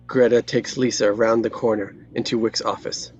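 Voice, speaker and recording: A narrator reading screenplay stage directions at a table read, in scripted present-tense narration with a husky voice. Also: mid-range voice, level tone, moderate pace, darkish tone, highs cut off.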